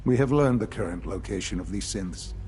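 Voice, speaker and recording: An actor playing a calm, calculating older institute leader in a video game, speaking formally and courteously. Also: male voice, on the low side, brisk, soft background music, lightly acted. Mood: grave, resolute.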